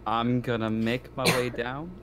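A man's voice: medium pitch, little pitch movement, a little slow, a loud crowd. A man playing a tabletop roleplaying game in casual chat, hesitant, often repeating himself and swearing.

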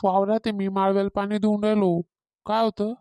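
A woman's voice: mid-range voice, little pitch movement, a little fast, warm tone, no room sound, clean studio sound, close to an everyday voice. A woman voicing a stern, irritable farmer father in a cartoon, with a rural Maharashtrian accent and a blunt manner. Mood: matter-of-fact. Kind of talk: Marathi cartoon comedy dialogue.

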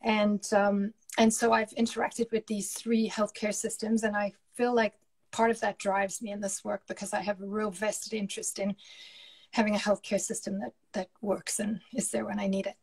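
A woman in her forties from South Africa with a South African accent, talking about her career in a relaxed, reflective way over a webcam video call from home. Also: mid-range voice, moderate pace, slightly rough. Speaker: journal editor-in-chief. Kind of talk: casual interview chat. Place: home room with curtain. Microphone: laptop webcam on video call.

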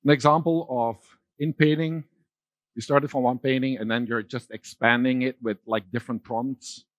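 A middle-aged man giving a conference keynote on a large dark stage, talking loosely with fillers and restarts. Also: mid-range voice, steady tone, moderate pace, dry recording. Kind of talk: keynote talk with slides.

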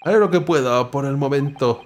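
A man's voice: medium pitch, a little fast, a little hiss or hum, close to an everyday voice. A man reading the lines of a young male stand-in lawyer, hesitant and polite.

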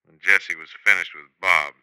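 An actor with an American accent playing a menacing, laconic Old West outlaw leader in a 1950s radio western, unhurried and terse. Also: male voice, low-pitched, steady tone, sharp tone, clear, resonant tone, no room sound, poor audio. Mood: coolly amused.

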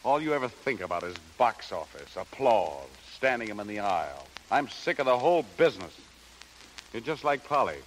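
An actor playing a bitter young star singer, irritable and curt, in 1930s radio style. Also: male voice, lowish voice, big pitch swings, moderate pace. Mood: bitter, angry. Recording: noisy 1930s radio broadcast.

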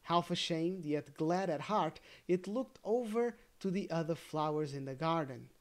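A storybook narrator reads a fairy tale aloud at a slow, deliberate pace. The delivery is gently expressive and careful, in a mid-range voice, with a casual, homely feel. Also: mid-range voice. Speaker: storybook reader.

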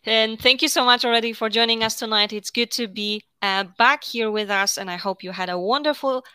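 A woman hosts a webinar over a video call. Her voice is bright, crisp and smooth at a middle pitch. The sound is dry and close. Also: brisk, no echo. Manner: friendly, with many fillers and "okay"s. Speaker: webinar host. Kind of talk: scripted-sounding webinar hosting.

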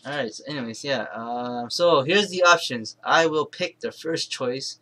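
A man presenting an informal software tutorial, thinking aloud in a halting, rambling way and correcting himself as he goes. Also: medium pitch. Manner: halting, rambling, self-correcting, mumbled asides. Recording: clear background noise, keyboard and mouse clicks.

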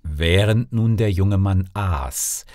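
A man's voice: low-pitched, slow pace, dark tone, no room sound, studio quality. A man narrating a fairy tale as an audiobook storyteller, reading expressively from a script.

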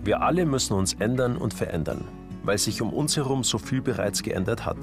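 Gravelly male documentary narrator. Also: on the low side, animated, a little fast. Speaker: documentary presenter. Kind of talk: scripted voice-over narration. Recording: voice-over with music bed.

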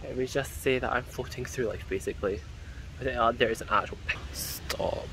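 A man's voice: mid-range voice, fairly quick, pushed and bright, slight background noise, low-quality recording. A young man chatting casually and upbeat as he films his travel vlog on a phone held at arm's length outdoors. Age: young adult. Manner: chatty, upbeat, rambling asides. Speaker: travel vlogger. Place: outdoors at temples and parks. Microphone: phone camera at arm's length.

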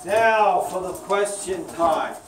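A children's puppet show narrator speaks slowly, in a deliberate storybook style at a middle pitch. The recording is echoey with clear background noise. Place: small indoor meeting room with audience of children. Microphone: distant camera microphone. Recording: echoey room, distant, background hiss.

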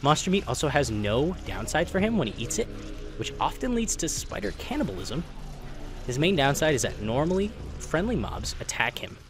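A man narrating a game explainer voice-over in an informal, opinionated, emphatic way, with casual asides. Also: mid-range voice. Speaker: gaming video narrator. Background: background music.

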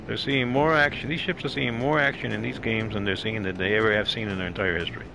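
A man's voice: lowish voice, fairly even intonation, fast talker, vocal fry, music in the background, low-quality recording. A man doing casual live commentary as a gaming streamer, rambling and repeating his phrases.

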